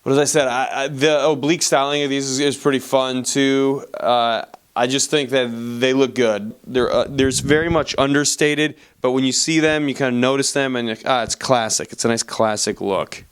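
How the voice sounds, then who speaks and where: A man's voice: mid-range voice, steady tone, moderate pace, clean tone. A man giving a casual voice-over product review, speaking as a reviewer to viewers.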